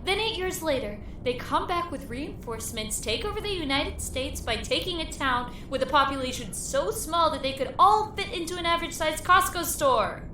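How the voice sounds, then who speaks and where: An actress playing a tough, sarcastic survivor with a forceful, urgent delivery in an audio drama. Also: female voice, high-pitched, lively intonation, normal speed, few pauses, roomy sound, slight background noise. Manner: sardonic, forceful.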